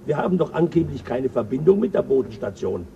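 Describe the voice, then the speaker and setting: A man's voice: medium pitch, very animated, gravelly, dark tone, distant mic, muffled recording, a little hiss or hum. A crude, mocking spaceman. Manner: coarse, mocking, quick to flare up. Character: crude boastful male spaceship crewman. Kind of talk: 1970s radio play acting.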